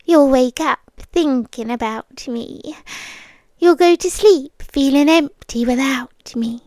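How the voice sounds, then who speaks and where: Slow, high-voiced British vampire girlfriend, played by a woman. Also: darkish tone, no echo. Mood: possessive, menacing. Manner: breathy, drawn-out, often dropping to a whisper. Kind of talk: intimate ASMR roleplay. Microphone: close ASMR microphone.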